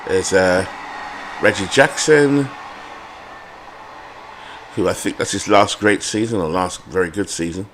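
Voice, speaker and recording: A British man with a lowish voice talks casually over a computer baseball game, rambling from thought to thought. He hesitates and corrects himself often and keeps an easy, chatty flow. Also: a little slow, pauses often, fairly bright tone, a little hiss or hum. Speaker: game channel host.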